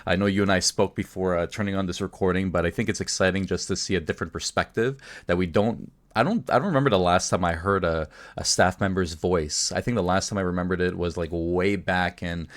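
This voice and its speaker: A man's voice: deep voice, steady tone, a little fast, hardly any pauses. A man hosting a casual podcast, talking in a conversational way. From Canada. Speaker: podcast host.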